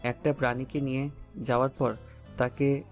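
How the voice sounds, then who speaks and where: A man's voice: medium pitch, flat delivery, normal speed, faint music behind, natural voice. A man playing a devoted, romantic young husband in an audio story, tender and earnest in manner. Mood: complaining.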